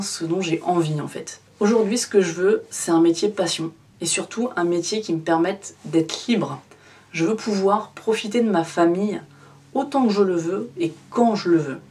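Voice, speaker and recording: A woman's voice: mid-range voice, a little mellow, distant mic. A woman in her thirties, a photography vlogger, talking casually and directly to her viewers with lots of fillers. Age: 30s. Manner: chatty, addresses the viewer informally with many fillers. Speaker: photography YouTuber. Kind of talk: casual direct-to-camera vlog.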